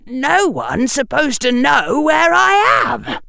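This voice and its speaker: A man's voice: very high-pitched, wildly swooping pitch, slow pace, vocal fry, pushed and bright, no room sound, studio quality, voice pushed up, exaggerated voice. A man voicing an earnest, precocious and defensive schoolboy wizard in an audiobook, speaking carefully and pointedly. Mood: alarmed, indignant. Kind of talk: audiobook character dialogue.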